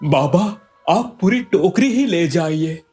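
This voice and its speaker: A man's voice: fairly high pitch, expressive, slow pace, dark tone, soft background music. A man playing a poor, kind-hearted young villager in a cartoon moral story, earnest and pleading. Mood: humble, respectful.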